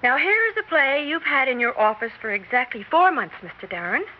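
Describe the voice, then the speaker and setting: A woman's voice: high-pitched, big pitch swings, fairly quick. An actress with an American accent playing a nervy, determined young woman playwright in a 1960s radio drama. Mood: confident, pointed. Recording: muffled 1960s radio recording, noticeable hiss.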